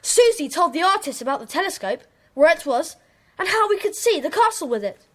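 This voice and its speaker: Earnest schoolboy, children's detective play, extremely high, lively intonation. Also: female voice, brisk. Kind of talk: children's audio drama acting.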